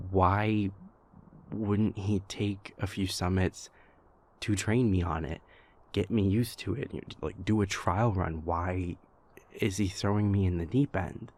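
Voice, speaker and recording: A man performs a young vampire prince in an intimate audio drama. The voice sits fairly low and moves very slowly, with halting restarts and weighty pauses. He sounds sincere, troubled and exasperated, like someone venting to a partner. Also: a little mellow. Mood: puzzled, hurt. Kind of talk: intimate audio roleplay acting.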